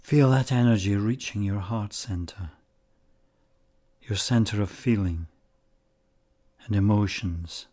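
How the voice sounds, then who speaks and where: A man leading a guided meditation in calm, measured short phrases with long pauses. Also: fairly low pitch.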